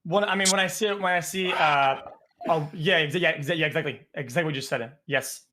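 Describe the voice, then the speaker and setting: A man streamer arguing in a casual debate, halting and combative. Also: fairly high pitch, pushed and bright, a little hiss or hum.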